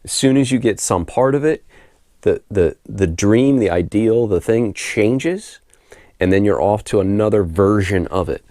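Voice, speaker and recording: A middle-aged man with a hoarse voice from a head cold, talking to camera at home in a relaxed, rambling way, often repeating words as he gathers his thoughts and laughing softly now and then. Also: on the low side, measured pace, no echo, clean studio sound. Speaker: spiritual author and video host. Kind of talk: casual unscripted monologue to camera. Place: home room.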